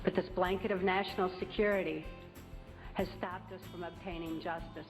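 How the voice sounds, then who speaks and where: Deliberate woman in a reverberant hall. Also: lowish voice, steady tone, over music. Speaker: speaker giving testimony. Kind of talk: public speech. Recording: dull recording with long hall reverb.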